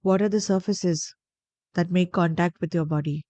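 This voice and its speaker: A woman guiding a meditation in a gentle, deliberate, soothing way, pausing between phrases. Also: lowish voice, steady tone, no room sound. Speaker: meditation guide. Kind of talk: guided meditation narration.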